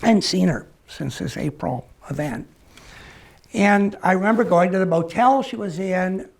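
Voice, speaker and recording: Gravelly, husky older American man. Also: sits high. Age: 60s. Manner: halting, reflective delivery with pauses. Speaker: academic speaker at a forum. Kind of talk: personal testimony talk, reflective storytelling.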